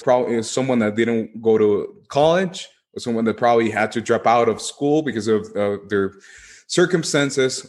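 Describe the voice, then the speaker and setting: A man from Guatemala with a Guatemalan Spanish accent, explaining earnestly in an interview and often padding phrases as he goes. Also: medium pitch. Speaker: podcast guest, legal tech executive.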